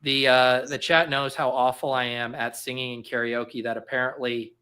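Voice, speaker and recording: A man in his thirties hosts a fantasy football draft on a live stream, talking in a loose, rambling way full of fillers and jokes at his own expense. His voice is bright and forceful, sitting at an ordinary pitch. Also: flat delivery, normal speed, clear, resonant tone. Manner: rambling, filler-heavy, self-mocking. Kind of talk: casual live-stream chat.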